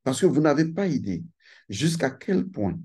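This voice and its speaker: A man holds forth in a lecturing monologue, his pitch rising and falling widely as he drives each point home. His tone is mellow and warm, and he talks in an emphatic, insistent way, repeating key phrases for effect. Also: big pitch swings, moderate pace, breathy edge. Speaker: channel host giving a talk.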